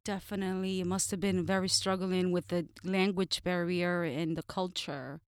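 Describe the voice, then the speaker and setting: A woman's voice: lowish voice, little pitch movement, moderate pace, clean tone, no echo, studio quality. A woman hosting an interview, asking questions in a friendly, upbeat way. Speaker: interview host.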